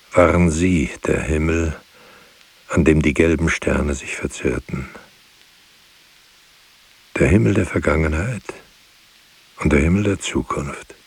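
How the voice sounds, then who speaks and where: An actor playing a grave, brooding narrator in a literary radio drama, reciting in a solemn, measured way. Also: male voice, deep voice, vocal fry, husky, heavily acted. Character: grave male narrator, poetic, brooding witness. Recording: faint background hiss.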